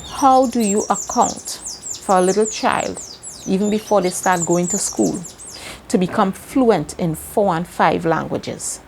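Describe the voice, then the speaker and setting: Slow, earnest Trinidadian woman teaching. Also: mid-range voice, creaky, darkish tone, slight background noise. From Trinidad and Tobago. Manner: earnest, repeats key words for emphasis. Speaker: self-help video host. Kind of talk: slow motivational teaching monologue.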